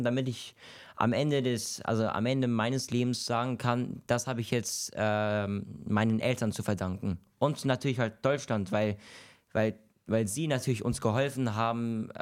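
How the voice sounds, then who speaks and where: A young man from Syria whose first language is Kurdish, answering interview questions in a halting way, searching for words. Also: lowish voice, steady tone. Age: young adult. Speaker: podcast guest.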